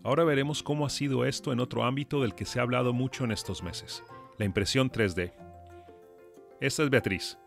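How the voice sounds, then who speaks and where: A man's voice: medium pitch, a little fast, vocal fry. A man delivering scripted documentary narration as the presenter. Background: faint music.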